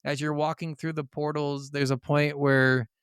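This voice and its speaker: Guy podcast host, smooth clean voice, close dry sound, chatty storyteller. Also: fairly high pitch, flat delivery, normal speed, a bit bright, dry recording. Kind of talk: casual gaming podcast chat.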